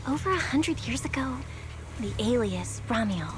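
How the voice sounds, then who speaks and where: An actress playing a thoughtful, inquisitive young woman in a video game, speaking in a reflective, halting way. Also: a little high, lively intonation, close to an everyday voice, low-quality recording. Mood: musing. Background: game music.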